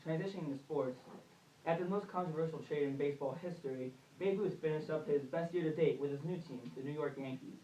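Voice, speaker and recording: A man's voice: fairly high pitch, quick pace, warm tone, a little hiss or hum, close to an everyday voice. A young man playing a chatty, colloquial reporter in a scripted amateur 1920s radio newscast. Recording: echoey room, distant mic.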